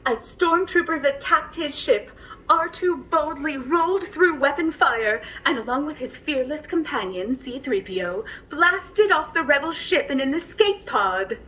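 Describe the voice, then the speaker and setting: A high-pitched, earnest female droid, polite and formal as she attends to her passenger. She speaks like an eager bedtime storyteller in a scripted sci-fi tale, with slightly dull, lo-fi sound. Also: expressive, a little slow, bright, forceful tone, full character voice. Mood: dramatic storytelling. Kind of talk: scripted character dialogue and storytelling.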